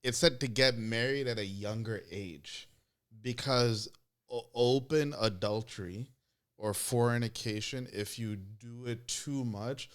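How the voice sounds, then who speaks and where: A man's voice: mid-range voice, takes it slowly, smooth. A young man, a podcast guest whose first language is not English, talking loosely and reflectively in slangy casual chat.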